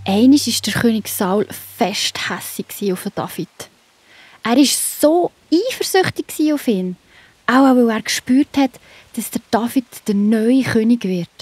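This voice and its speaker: A woman's voice: on the high side, animated, unhurried, a little mellow, husky, dry recording. A woman with a Swiss German accent narrating a scripted Bible story for children. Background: music.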